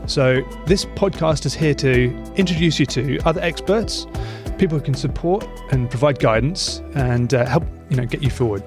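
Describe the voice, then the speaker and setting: A man's voice: mid-range voice, normal speed, hardly any pauses, music in the background. A middle-aged man hosting an interview podcast, talking in a friendly, loose way with many fillers. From England. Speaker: podcast host, mortgage broker.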